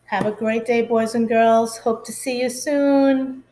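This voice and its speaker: A woman with a fairly high voice reads a children's picture book aloud in the manner of a schoolteacher. Her pace is very slow and deliberate. She is expressive and speaks directly to young listeners, asking them questions. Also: poor audio.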